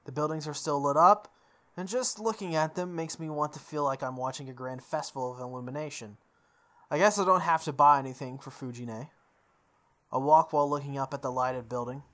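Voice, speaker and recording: A young man reading a visual novel aloud as a let's play host, breaking into casual animated commentary. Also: on the high side, a little fast.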